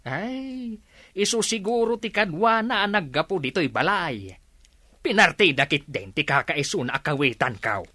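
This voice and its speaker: Woman acting a low-voiced, excitable grumbling villager. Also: normal speed, exaggerated voice. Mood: indignant. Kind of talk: Ilocano radio comedy drama acting.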